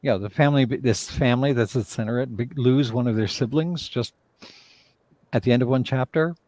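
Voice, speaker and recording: A man's voice: medium pitch, moderate pace, darkish tone, no room sound. A man talking casually as a playwright in a live discussion, rambling and often interrupting himself. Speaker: playwright and director, interview guest.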